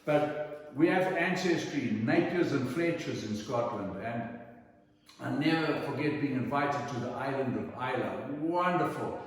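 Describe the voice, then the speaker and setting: A middle-aged man from Zimbabwe telling personal anecdotes in a theatrical, emphatic way with dramatic pauses. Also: medium pitch, animated. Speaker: storyteller. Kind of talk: animated anecdotal storytelling. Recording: echoey hall, distant microphone.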